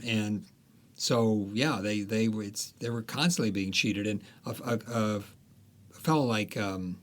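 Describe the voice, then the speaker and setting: A man with a low voice tells stories as a guest author in an interview. He speaks in a loose, conversational way, pausing on fillers and restarting his sentences as he goes. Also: a bit bright. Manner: unhurried storytelling with many fillers and restarts.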